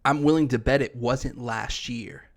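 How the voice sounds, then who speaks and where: A man's voice: medium pitch, unhurried, creaky. A man co-hosting a podcast in casual sports chat, giving fight predictions in a slangy, emphatic, bantering way. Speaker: co-host of an MMA podcast.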